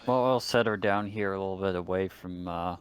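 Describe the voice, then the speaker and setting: Lowish-voiced man playing a tabletop game. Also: a little fast. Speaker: roleplaying game player. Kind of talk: casual table talk.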